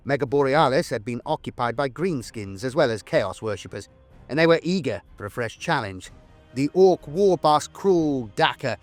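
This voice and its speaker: A man narrates dark epic lore in a theatrical, grandiose way. His voice sits at a medium pitch, and music plays behind him. The delivery is scripted and dramatic. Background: background music.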